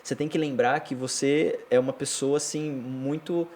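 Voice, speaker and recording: A Brazilian man co-hosts a casual chat, explaining points and handing out tips. He teases lightly as he talks, and his voice sits at a middle pitch. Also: steady tone, moderate pace, a little mellow, a little hiss or hum. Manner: explains and gives advice, teasing. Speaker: co-host.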